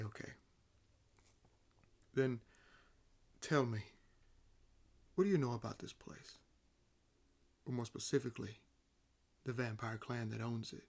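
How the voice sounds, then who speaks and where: A sardonic vampire played by a man speaks at an unhurried pace in a medium-pitched male voice. He is casual and unfazed, with halting phrases, as if newly woken into an audio drama roleplay. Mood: amused, incredulous.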